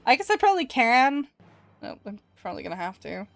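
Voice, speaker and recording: A woman's voice: fairly high pitch, sing-song, fairly quick, creaky, a bit bright. Woman, 20s, Scottish-Canadian accent, giggly casual streamer chatting over faint music. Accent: mixed Scottish and Canadian. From Scotland. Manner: chatty, laughs often, answers chat aloud. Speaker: art streamer. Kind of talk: casual live-stream chat while drawing.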